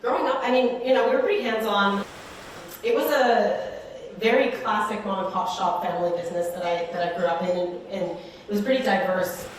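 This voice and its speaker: A woman's voice: medium pitch, steady tone, a little fast, heavy reverb, distant mic, a little hiss or hum, poor audio. A woman in her thirties from Western Canada, talking casually as an interview guest with lots of fillers like "like" and "you know.". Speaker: guest, startup co-founder.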